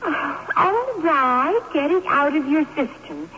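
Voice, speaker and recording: Radio drama, spoiled, coaxing wife, high-pitched and theatrical, muffled old recording with noise. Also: female voice, animated, takes it slowly. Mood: dismissive, teasing. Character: spoiled, clinging, dismissive wife of a restless husband.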